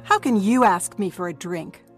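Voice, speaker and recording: A woman's voice: mid-range voice, expressive, normal speed, soft background music, natural voice. An actress playing a forthright, questioning village woman in a dramatized scripture reading. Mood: challenging, skeptical.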